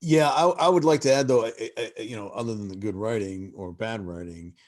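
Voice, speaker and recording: A man speaking as a panelist in a casual video call discussion, relaxed and halting with repeated starts. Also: on the low side, dry recording, studio quality.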